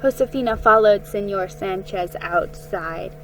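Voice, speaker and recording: A woman giving a dramatic, expressive reading of a children's story, with a slightly halting delivery. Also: medium pitch, a little hiss or hum, rough recording. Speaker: amateur story reader. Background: music under the reading.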